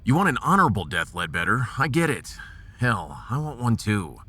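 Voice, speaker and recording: A man playing a gruff, folksy American starship captain in a sci-fi audio drama, resolute and commanding with homespun slang. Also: on the low side, very animated, moderate pace, sharp tone, full character voice. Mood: grave, resolute.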